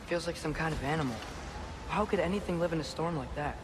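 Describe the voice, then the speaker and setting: A man's voice: on the high side, quick pace, background noise, close to an everyday voice. A young male actor playing an earnest, curious teenage boy warrior in a cinematic video game, casual and full of questions. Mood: alert. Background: loud game music.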